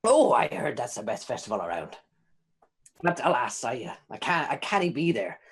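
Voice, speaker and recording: A man's voice: medium pitch, big pitch swings, normal speed, gravelly, fairly bright tone, slightly rough, pitched below the performer's own voice, full character voice. A man voicing a chatty, cheeky imprisoned informant who rambles and stammers in old-timey folksy phrasing. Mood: rambling.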